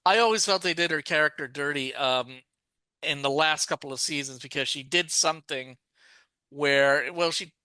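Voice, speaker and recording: A man with a bright, ringing tone and a smooth, clear voice chats on a podcast. He talks on and on, restarting sentences as he goes. The sound is close and dry. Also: a little high, normal speed, no room sound. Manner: talkative, restarts sentences often. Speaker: podcast co-host. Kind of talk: casual chat.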